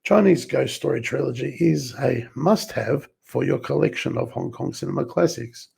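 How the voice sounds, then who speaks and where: A man, hoarse and under the weather, clearing his throat often as he reads film box covers aloud in a slow, relaxed unboxing. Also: medium pitch. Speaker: collector reviewing a film set.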